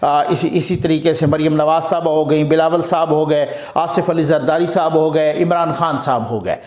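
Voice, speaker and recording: A man's voice: a little high, fairly even intonation, moderate pace, darkish tone. A man with a Pakistani accent giving emphatic, conversational political commentary as a journalist, repeating phrases to drive points home. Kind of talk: political commentary straight to audience. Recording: echoey, roomy sound.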